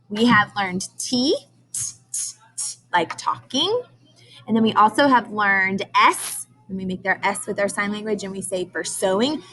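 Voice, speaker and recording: A woman's voice: medium pitch, fairly bright tone, poor audio. A woman teacher leading a read-aloud lesson for young children, prompting with questions and carefully sounding out key words. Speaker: early-grades teacher.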